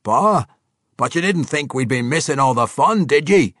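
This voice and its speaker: A roaring, boisterous dwarf warrior. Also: male voice, mid-range voice, lively intonation, moderate pace, fairly bright tone, no echo, clean studio sound, pitched above the performer's own voice. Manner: roaring, laughing, folksy dialect. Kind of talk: audiobook character voice.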